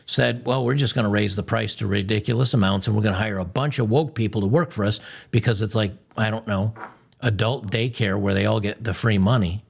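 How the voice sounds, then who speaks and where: A man's voice: on the low side, vocal fry. A man hosting, giving blunt, mocking commentary on video clips. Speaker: host.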